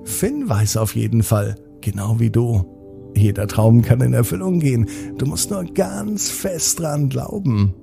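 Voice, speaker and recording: A man narrating a scripted children's bedtime story, gently speaking to the young listener in a slightly husky, gravelly voice. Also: mid-range voice, expressive, measured pace, music in the background.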